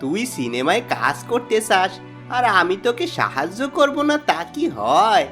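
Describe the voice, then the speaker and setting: Man voicing sly village trickster, greedy, boastful, cartoon moral tale, highly expressive intonation, light music behind. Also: moderate pace, vocal fry, full character voice. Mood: coaxing flattery. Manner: animated, coaxing and boastful. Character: sly, greedy adult village man who swindles people. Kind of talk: cartoon moral-story dialogue. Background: background music.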